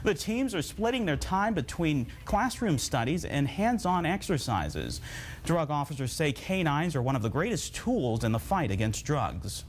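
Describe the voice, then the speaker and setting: A man's voice: a little high, very animated, moderate pace, a little hiss or hum. A man with an American accent reading scripted news as an anchor.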